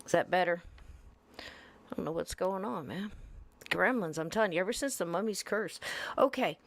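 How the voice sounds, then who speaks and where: A woman hosting a podcast live stream, chatting in a laid-back, easygoing way and keeping things low and mellow. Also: normal speed. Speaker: podcast host. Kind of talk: casual live-stream chat.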